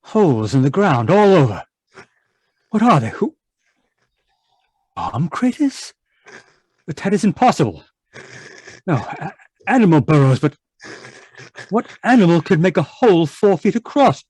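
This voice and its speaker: An actor playing an anguished, haunted man in an old-time radio horror monologue, halting and repetitive in a melodramatic way. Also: on the high side, big pitch swings, darkish tone, breathy edge, dry recording, full character voice. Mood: horrified, anguished. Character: anguished, haunted man raving about monstrous giant worms.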